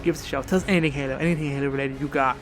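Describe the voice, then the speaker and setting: A man's voice: mid-range voice, fairly even intonation, very fast, music in the background. A man hosting a podcast, talking casually and informally with emphatic opinions. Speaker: podcast host.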